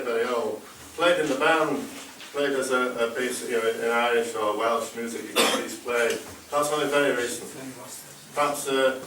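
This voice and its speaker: A man's voice: medium pitch, a bit bright. A man, a drummer, chatting easily between pieces on a live stage, with hesitant ums and dry humour. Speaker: bodhrán drummer performing. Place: live stage in a large hall. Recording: echoey hall, far mic, background noise.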